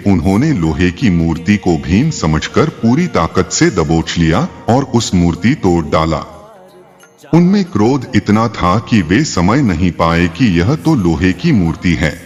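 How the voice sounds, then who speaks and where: A man's voice: low voice, a little mellow. A man narrating scripted mythological tales in a formal, dramatic storytelling style. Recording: long reverb, faint music behind. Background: faint background music.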